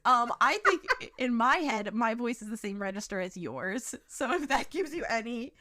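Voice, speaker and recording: A woman's voice: animated, moderate pace, bright, forceful tone, no echo, studio quality. A young woman co-hosting a podcast, chatting casually and laughing often. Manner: chatty, giggly, talks about her high voice. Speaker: podcast co-host. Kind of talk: casual chat.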